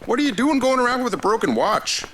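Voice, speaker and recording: Eerie, animated older small-town man played by an actor. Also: extremely high pitch, quick pace, a little hiss or hum, heavily acted. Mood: prying. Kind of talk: audio drama acting.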